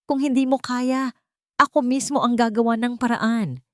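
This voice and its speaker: A woman voicing a greedy, contemptuous daughter-in-law in a dramatic story, sharp and emphatic. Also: fairly high pitch, fairly even intonation, normal speed, no room sound, clean studio sound, voice pushed up, lightly acted. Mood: impatient, pressing.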